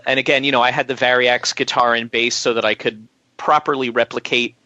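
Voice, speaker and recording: A man's voice: mid-range voice, little pitch movement, fairly quick, pushed and bright, no echo, muffled recording. A man speaking as a musician guest in an interview, telling detailed, chatty anecdotes.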